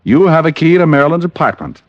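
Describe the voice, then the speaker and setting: An actor playing a shrewd, persistent private detective in an old radio drama, on a muffled recording. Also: male voice, a little high, big pitch swings, quick pace, no room sound. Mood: probing, composed.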